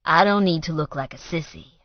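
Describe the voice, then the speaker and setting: A woman voicing a gruff, proud working-class man who speaks tersely in an audiobook romance. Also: sits low, a little fast, dry recording, lo-fi sound, natural voice. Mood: gruff, guarded. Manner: terse, grumbling.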